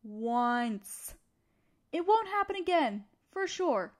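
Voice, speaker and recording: Female college study-partner crush, played by a woman for intimate audio roleplay: high-pitched, conversational, teasing yet supportive, taking her time. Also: big pitch swings, slow pace, exaggerated voice. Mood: gentle, reassuring. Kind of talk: intimate scripted roleplay acting addressed to the listener.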